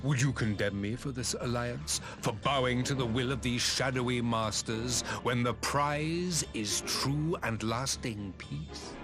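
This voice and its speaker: An imperious, aging emperor acted by a man delivers grand, cold proclamations in a game cutscene. His delivery is very slow and measured, full of zealous conviction. Dramatic music plays clearly behind him. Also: medium pitch, natural voice. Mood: somber, bitter. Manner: grandiose, measured, archaic oratory.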